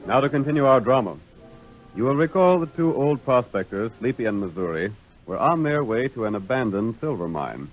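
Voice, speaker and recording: A man's voice: mid-range voice, expressive, brisk, faint music behind, rough recording. A man with an American accent narrating a scripted 1930s radio drama as its announcer. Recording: vintage 1930s radio recording.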